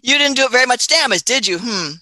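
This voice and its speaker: A woman's voice: a little high, animated, fast, bright, forceful tone, dry recording. A woman playing a canny, politely skeptical traveling doctor in a radio play, hesitant and old-fashioned in manner. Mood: wry, probing.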